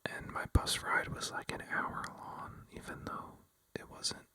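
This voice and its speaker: A vlogger telling a rambling, casual story in a breathy ASMR whisper, full of fillers like "like" and "you know.". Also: a little slow, sharp tone. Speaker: vlogger addressing viewers.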